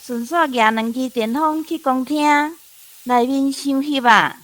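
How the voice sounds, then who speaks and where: High-pitched, homely Taiwanese mother-in-law. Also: a little slow, dry recording. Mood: brisk, bossy. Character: busy, plain-spoken country mother-in-law running a kitchen. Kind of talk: radio drama acting.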